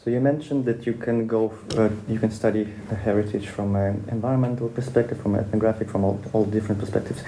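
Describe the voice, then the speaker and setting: A man hosting a casual interview, asking relaxed questions and offering brief backchannels. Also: fairly low pitch, quick pace, few pauses, slight background noise, low-quality recording. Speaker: podcast host.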